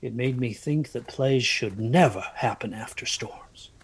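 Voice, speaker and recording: A man's voice: medium pitch, a little slow, husky. A man acting an anxious, haunted narrator of a dramatic first-person ghost story, hesitant and brooding in his delivery. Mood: hushed, eerie. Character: anxious haunted man recalling a stormy night.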